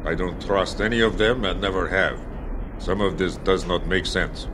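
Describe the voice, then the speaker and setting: A man playing Nikolai, a calm and formal-speaking man who avoids contractions. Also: sits low, normal speed, noisy background, natural voice. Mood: steady. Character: calm, stiff-spoken man named Nikolai. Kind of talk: amateur audio drama.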